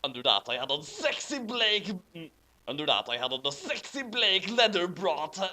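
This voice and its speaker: Animated, bright-voiced man reading aloud. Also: high voice, moderate pace. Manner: incredulous, amused, breaking into laughter over the text. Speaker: host reading fan fiction aloud with commentary. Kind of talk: dramatic reading with mocking commentary.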